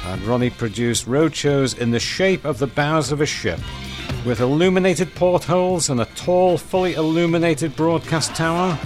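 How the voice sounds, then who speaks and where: An older man, presenting a radio show as DJ and chatting in a rambling, reminiscing way. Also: fairly high pitch. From northwest England. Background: music under the talk.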